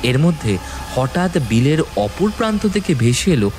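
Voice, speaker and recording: সুরের আবহে পুরুষ কণ্ঠে নাটকীয় ভৌতিক গল্পপাঠ. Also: male voice, fairly high pitch, big pitch swings. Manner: suspenseful, with deliberate dramatic pauses. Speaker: story narrator. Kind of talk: dramatic scripted horror narration. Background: background music and sound effects.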